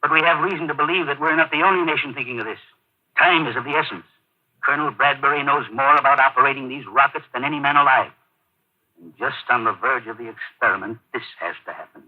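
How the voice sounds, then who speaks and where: An actor playing a weary, middle-aged Air Force general with a grave, authoritative manner in a 1950s radio drama. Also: male voice, mid-range voice, quick pace, close to an everyday voice. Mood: grave, urgent. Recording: dull old radio broadcast.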